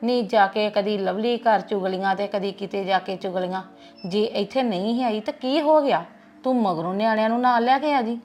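An actress with a Punjabi accent playing a wise aunt who calmly gives family advice. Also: female voice, medium pitch, normal speed, soft background music, close to an everyday voice. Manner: gently advising, calm. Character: wise older paternal aunt giving advice.

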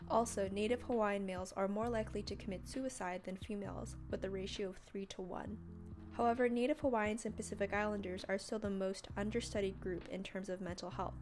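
A woman's voice: medium pitch, steady tone, a little fast, darkish tone, soft background music. Young woman narrating a documentary. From San Francisco. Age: young adult. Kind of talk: scripted narration.

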